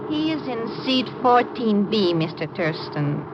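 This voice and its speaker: A woman's voice: mid-range voice, very animated, a little slow. A woman playing a polite, composed young nurse in a 1950s radio drama, formal and careful. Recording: muffled noisy 1950s radio recording.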